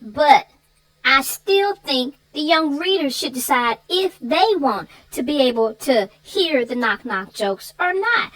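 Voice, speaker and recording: A woman speaks in a very high, bright voice with a lot of swing in her pitch. She chats casually as a book reviewer, bantering and telling things in an animated way. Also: slow, rough recording.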